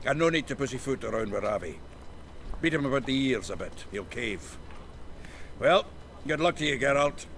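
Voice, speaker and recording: An actor playing a gruff, earthy, hot-tempered male rogue in a fantasy video game, gravelly and blunt, full of colourful slang. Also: mid-range voice, lively intonation, normal speed, pauses often, fairly bright tone, slight background noise. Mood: blunt, scheming.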